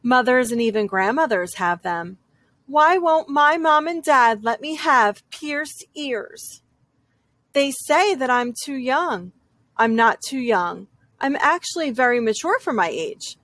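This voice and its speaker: A woman reading a children's picture book aloud as a young girl's story, with dramatic, drawn-out emphasis. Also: high voice, lively intonation, a bit bright, no echo.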